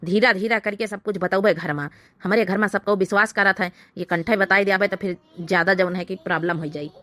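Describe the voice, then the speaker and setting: Woman playing bright-voiced, sneaky Awadhi village husband. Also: mid-range voice, steady tone, fairly quick, lightly acted. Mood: furtive. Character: sneaky, short-tempered village husband with secret girlfriend. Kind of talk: cartoon comedy dialogue.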